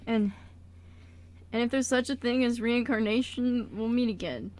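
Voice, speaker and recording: A woman playing a grieving young man in an amateur radio drama, speaking tenderly and haltingly. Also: a little high, darkish tone, lightly acted. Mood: wistful, tender. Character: grieving young man saying farewell to ghostly friend.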